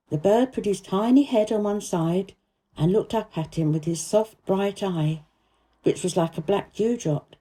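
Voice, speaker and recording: A woman narrating a children's story aloud in an expressive, careful storytelling manner. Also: medium pitch, husky. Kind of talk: scripted children's audiobook narration.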